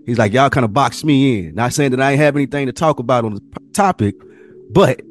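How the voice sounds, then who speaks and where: A man's voice: medium pitch, lively intonation, fast talker. A man hosting a podcast in casual, slangy conversational talk. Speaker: podcast host. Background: music.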